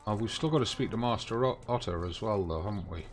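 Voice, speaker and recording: A man's voice: lowish voice, fast, slight background noise. A man giving casual gameplay commentary, talking and grumbling to himself as he plays, like a streamer. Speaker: game streamer. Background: medieval game music and horse sounds.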